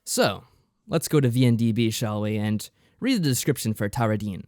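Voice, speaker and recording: A man's voice: lowish voice, animated, normal speed, darkish tone, no echo, clean studio sound. A man co-hosting a podcast, explaining things in a casual, loosely phrased review style. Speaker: podcast co-host.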